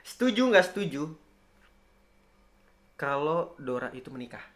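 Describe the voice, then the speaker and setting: A man's voice: on the high side, animated, unhurried, a bit bright. A man with a Jakarta Indonesian accent hosting a casual comedic chat, talking in slangy banter. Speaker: podcast host asking questions. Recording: roomy, echoey indoor sound.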